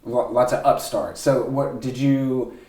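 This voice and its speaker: A man's voice: mid-range voice, fairly even intonation, unhurried, mellow, echoey room. A man hosting an interview podcast, asking loose, rambling questions full of fillers. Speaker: podcast host. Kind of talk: casual interview.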